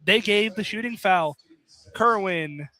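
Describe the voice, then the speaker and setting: Young man, high-pitched voice, basketball play-by-play, radio commentary, informal, bantering. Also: slow, pushed and bright. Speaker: play-by-play announcer. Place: college basketball field house, live broadcast.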